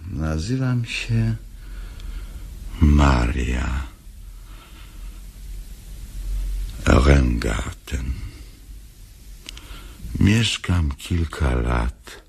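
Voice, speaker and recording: An actor playing a defiant old woman revolutionary in a radio play monologue, declamatory and swinging from hushed to shouted. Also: extremely low pitch, creaky, breathy edge, exaggerated voice. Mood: solemn.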